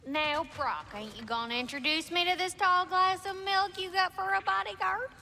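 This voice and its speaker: A woman's voice: very high-pitched, normal speed, creaky, pushed and bright, slight background noise, heavily acted. An actress playing a brash, sassy female dwarf blacksmith in a video game, teasing in folksy slang. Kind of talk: cinematic video game acting.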